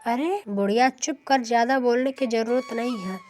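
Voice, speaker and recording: A rude, drunken village husband acted out by a woman narrator in a cartoon moral tale. He snaps curtly and looks for a fight, in a highish voice with music behind. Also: normal speed, a little mellow, lightly acted. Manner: curt, snapping, confrontational. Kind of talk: Hindi cartoon moral story.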